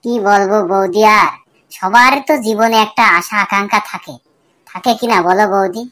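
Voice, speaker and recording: A man's voice: high voice, fairly even intonation, moderate pace, sharp tone. Man voicing a bawdy, grumbling young village bachelor. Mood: boastful, bawdy. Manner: colloquial, complaining, pleading. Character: Futo, bawdy young bachelor, pleading and evasive. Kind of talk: comic cartoon dialogue and muttered asides.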